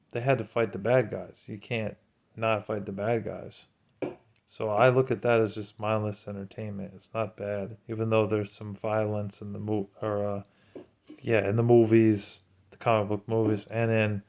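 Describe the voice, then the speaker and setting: A man's voice: on the low side, smooth, lo-fi sound. A man giving an informal religious talk in an earnest, preachy manner. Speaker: religious commentator.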